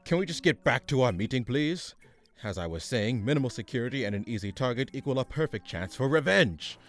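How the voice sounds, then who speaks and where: A man's voice: mid-range voice, lively intonation, fairly quick, a bit bright, faint music behind. A man playing a pompous, exasperated supervillain chairing a meeting in a comedic radio play, haughty and sarcastic. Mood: exasperated, scheming.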